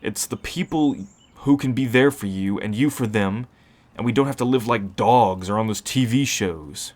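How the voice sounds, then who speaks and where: Guy doing a tired protective werewolf, lowish voice, serious and measured, asmr roleplay style. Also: darkish tone, close to an everyday voice. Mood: earnest, reassuring. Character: weary, protective male werewolf keeping a refuge.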